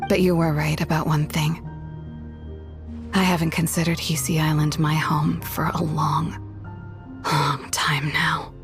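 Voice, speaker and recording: A woman's voice: low-pitched, little pitch movement, measured pace, pauses often. A woman voicing a proud, bitter turncoat in a video game, cool and wry, with music behind. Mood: wry, resigned. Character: proud, bitter female turncoat who left her clan. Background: background music.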